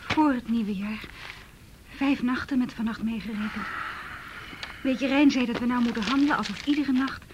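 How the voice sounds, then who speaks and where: A woman's voice: on the high side, moderate pace, pauses often, noisy background, lightly acted. An actress playing an anxious, defensive young married woman in a naturalistic radio drama. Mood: tense, brooding.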